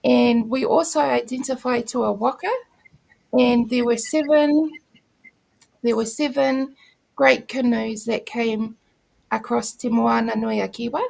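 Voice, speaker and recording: Gravelly New Zealand woman, middle-aged. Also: fairly high pitch, low-quality recording. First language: Māori. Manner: unhurried, formal greeting in Māori then warm explanation. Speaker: school principal and book circle guest. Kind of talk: casual introduction on a video call.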